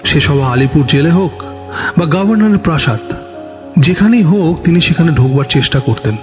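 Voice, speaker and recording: A man's voice: fairly high pitch, expressive, normal speed. A man narrating a scripted suspense story in a dramatic storytelling style. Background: background music.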